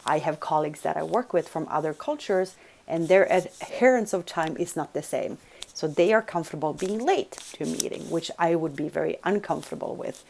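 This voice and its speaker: A woman's voice: low-pitched, brisk, creaky, a little mellow, a little hiss or hum. A woman from Sweden, giving a recorded college lecture and checking in with listeners by asking "right?". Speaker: business communication lecturer.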